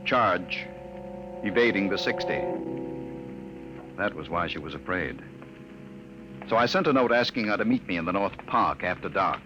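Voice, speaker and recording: A man's voice: low voice, animated, normal speed, pauses often, music in the background. A man acting an earnest, skeptical off-world archaeologist in a 1950s radio drama. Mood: narrating, grave. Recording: noisy muffled old radio broadcast.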